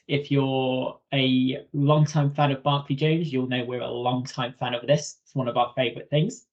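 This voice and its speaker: A man's voice: on the high side, darkish tone. Man presenting, slightly dull audio. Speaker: software trainer co-presenting a webinar. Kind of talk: informal instructional presentation.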